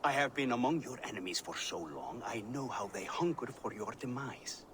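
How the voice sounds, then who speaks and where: A man's voice: medium pitch, expressive, moderate pace, background noise, lightly acted. A man playing a courteous, cunning wandering master builder in a video game, speaking formally and eloquently with a deferential air. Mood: deferential, persuasive. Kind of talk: video game cutscene acting.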